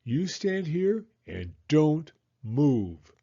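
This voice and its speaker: A man's voice: a little high, big pitch swings, extremely slow, dark tone, muffled recording, voice pushed up, full character voice. A man voicing an exasperated but loving father in a children's storybook, firm and emphatic.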